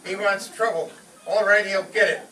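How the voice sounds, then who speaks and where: A man's voice: high-pitched, fairly even intonation, normal speed, sharp tone. A man playing a hot-headed, scheming father in an old-time radio comedy, pompous, indignant and theatrical. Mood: vengeful, bossy. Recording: roomy distant stage recording, faint noise.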